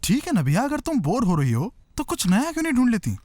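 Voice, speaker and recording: Cartoon boy best friend: casual, chatty, frank, slightly high pitch, music behind. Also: huge pitch swings, fast talker, a little mellow. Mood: helpful, frank. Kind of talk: animated cartoon dub.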